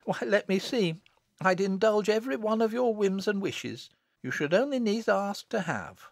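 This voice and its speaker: A cheerful young village woman from an audiobook tale, played by a man. The voice is lifted high and light, with lively melody, and sounds eager, kindly and a little dreamy. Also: normal speed, vocal fry, no echo.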